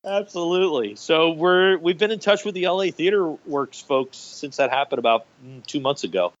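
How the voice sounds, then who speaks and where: A man's voice: a little high, animated, moderate pace, muffled recording. A middle-aged man telling anecdotes enthusiastically as an interview guest, in a conversational storytelling style. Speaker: guest, radio theater director.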